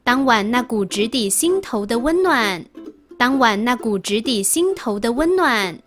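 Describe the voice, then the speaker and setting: A woman's voice: on the high side, animated, measured pace, fairly bright tone, soft background music. A woman reading sentences aloud as scripted exercise prompts.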